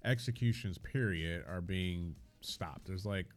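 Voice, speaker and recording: A man hosting a casual discussion, speaking in a measured, questioning way and pushing back on points. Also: low voice, over music. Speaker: podcast host.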